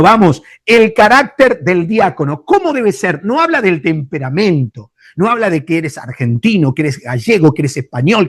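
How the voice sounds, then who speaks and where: A male pastor with a Rioplatense Spanish accent teaches from the Bible in a high, bright-pitched voice. He speaks emphatically, hesitates often, and addresses his listeners fondly. The recording is close and dry, with no room echo.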